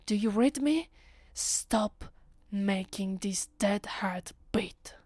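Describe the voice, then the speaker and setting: A woman with an Italian accent playing a haughty, centuries-old vampire mistress in an ASMR roleplay, breathy and often near a whisper, imperious and dramatic. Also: medium pitch, takes it slowly, a little mellow, dry recording. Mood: agitated, commanding. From Italy.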